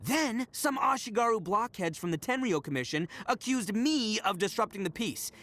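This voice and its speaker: A man's voice: high-pitched, expressive, moderate pace, a bit bright. A man voicing a brash, boastful, big-hearted young oni gang boss in a video game, boisterous and slangy in a theatrical way. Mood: indignant. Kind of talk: video game character voice acting.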